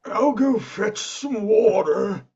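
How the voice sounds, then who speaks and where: A woman's voice: mid-range voice, takes it slowly, vocal fry, warm tone, slightly rough, highs cut off, full character voice. A woman playing a meek, eager, tipsy male servant in an audio play, hesitant and deferential.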